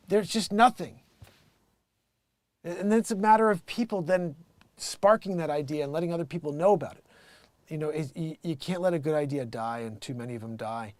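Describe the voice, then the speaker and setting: A man hosting a casual live stream, rambling to his viewers in an animated, boastful and emphatic way. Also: sits high, no echo. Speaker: live-stream entertainer and host.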